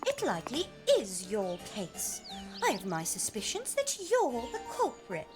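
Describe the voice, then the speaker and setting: An actress playing a petulant, spoiled heiress in an audio drama, sweetly condescending. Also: female voice, mid-range voice, huge pitch swings, a little slow, over music. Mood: catty.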